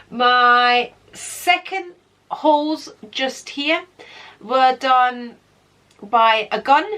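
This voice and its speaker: A woman's voice: on the high side, sharp tone. A middle-aged woman in a casual vlog chat, a little congested from a cold, talking easily as she points things out. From Britain. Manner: chatty, counting and pointing things out. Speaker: beauty vlogger.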